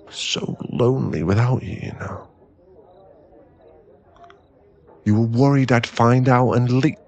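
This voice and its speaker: A man playing a drunk, clingy, lovesick boyfriend in an intimate ASMR roleplay, with halting, pleading speech and a husky, gravelly voice. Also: mid-range voice, darkish tone, highs cut off, lightly acted. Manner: tipsy, halting, drawn-out, pleading delivery.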